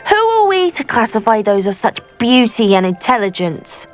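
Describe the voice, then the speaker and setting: A woman's voice: a little high, sing-song, normal speed, faint music behind. A woman playing an eager, idealistic, effusive teenage schoolgirl witch with a British accent in a video game. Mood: passionate. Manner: effusive, earnest, well-spoken. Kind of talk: video game dialogue acting.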